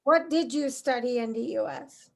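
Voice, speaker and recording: Woman, high voice, interviewer, casual conversation, chatty and complimentary. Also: moderate pace. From Guyana.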